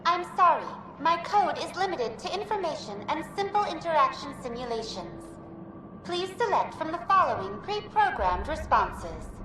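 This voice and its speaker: A female virtual assistant in a sci-fi game, high and very lilting. She is upbeat and formal, like a scripted customer-service greeter, in an echoey space with clear music behind her. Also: sing-song, moderate pace, recorded from a distance. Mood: polite, automated. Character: cheerful, helpful female virtual intelligence greeter program. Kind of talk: scripted automated welcome announcement.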